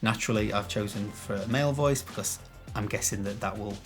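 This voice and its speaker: Man, deep bassy voice, casual step-by-step tutorial host, shotgun mic, soft music behind. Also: fairly quick. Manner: chatty and self-deprecating, explaining step by step. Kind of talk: casual tutorial talk to camera. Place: small room with some echo. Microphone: shotgun microphone.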